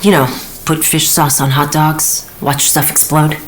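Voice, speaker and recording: A woman's voice: sits low, flat delivery, a little slow, a little hiss or hum, poor audio. An actress playing a tough, wry woman on a heist crew in a video game. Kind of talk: video game voice acting, naturalistic dialogue. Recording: game soundtrack behind voice.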